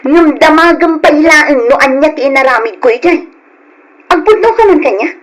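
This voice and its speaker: Actress as a high-voiced, aggrieved Ilocano wife. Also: female voice, moderate pace, close to an everyday voice, rough recording. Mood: indignant. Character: nagging, aggrieved young wife and mother. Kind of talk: old Ilocano radio drama acting. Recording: old radio drama sound.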